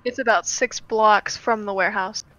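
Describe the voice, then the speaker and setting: A woman's voice: mid-range voice, fairly even intonation, normal speed, bright, forceful tone, no room sound, poor audio. A woman talking casually as a player in a tabletop roleplaying game.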